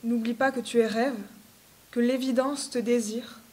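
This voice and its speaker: A woman recites poetry in a somewhat high voice. Her intonation stays flat and even from line to line. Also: unhurried.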